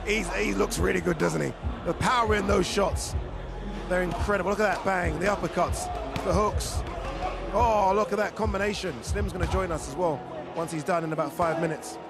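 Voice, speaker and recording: An excitable man hosts a live event, talking fast and hyping up the fighters with a high, bright voice. Loud music plays behind him throughout. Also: gravelly. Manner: excitable, chatty, hypes the fighters. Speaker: event host. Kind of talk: live event hosting and commentary. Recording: music playing loudly behind.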